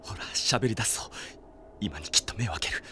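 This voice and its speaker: An actor playing an earnest, polite young man grieving for a comrade in an anime audio drama, soft and pleading, dropping toward whispers. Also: lowish voice, expressive, brisk. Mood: grieving, disbelieving.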